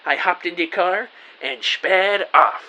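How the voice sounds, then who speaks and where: A man playing a self-important, excitable private investigator, narrating his case theatrically in an audio drama. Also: sits high, takes it slowly, lo-fi sound, loud music behind the voice. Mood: businesslike. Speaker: narrator and lead actor. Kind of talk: first-person detective audio drama narration.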